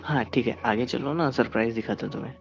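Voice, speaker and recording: A man's voice: mid-range voice, fast, vocal fry. A man chatting in a playful, intimate role-play, teasing and coaxing as he goes. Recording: dull lo-fi call, music behind.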